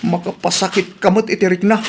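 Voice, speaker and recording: A man's voice: high voice, steady tone, brisk, gravelly, a little hiss or hum. A man playing a thrifty, exasperated husband in an Ilocano comedy radio drama, grumbling with an Ilocano accent.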